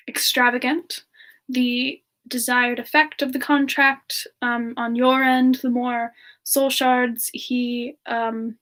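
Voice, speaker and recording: Woman voicing a gentle, high-pitched young frost demoness. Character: gentle young female frost demon singer, sweet and affectionate. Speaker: streamer answering viewer questions in character. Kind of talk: semi-ASMR in-character live Q&A.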